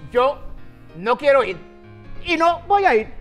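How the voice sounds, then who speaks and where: A lazy, stubborn husband in a TV comedy sketch, whining and pleading in a middling, even voice. Faint music sits behind him. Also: male voice, extremely high pitch, slow, exaggerated voice. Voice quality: pleading, repeats his wife's name. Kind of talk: sitcom sketch acting. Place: TV studio set, indoors.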